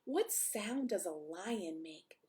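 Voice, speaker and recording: A woman reading a picture book aloud to young children, often asking them questions in an expressive way. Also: lowish voice, takes it slowly. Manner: expressive, encouraging questions to listeners. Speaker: pastor reading a story to children. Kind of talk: read-aloud storytime, chatting to young children.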